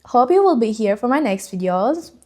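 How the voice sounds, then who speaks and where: A woman's voice: on the high side, sing-song, moderate pace, a little mellow. A young woman with a Turkish accent, a vlogger chatting unscripted to camera at home, rambling with many ums and likes. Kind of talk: casual unscripted chat to camera.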